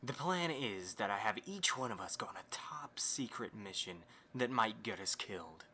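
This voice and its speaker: A man's voice: lowish voice, expressive, moderate pace, sharp tone. A man acting a courteous, scheming young host in an amateur audio drama, with a theatrically polite manner. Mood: confident, scheming.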